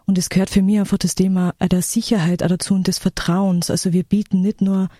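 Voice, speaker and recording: Mellow-voiced woman in radio conversation. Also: mid-range voice, flat delivery, a little fast, no room sound, clean studio sound. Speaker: radio interview guest. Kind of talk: conversational explanation of her work.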